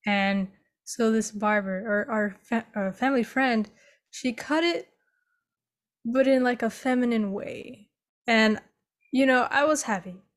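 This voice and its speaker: A young adult woman telling a personal story in a plain, steady, unhurried way. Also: a little high. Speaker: panel speaker.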